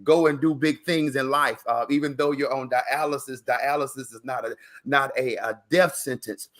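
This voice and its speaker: A man's voice: mid-range voice, steady tone, moderate pace, fairly bright tone. Earnest man hosting an interview. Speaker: host and interviewer. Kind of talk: casual, encouraging interview talk.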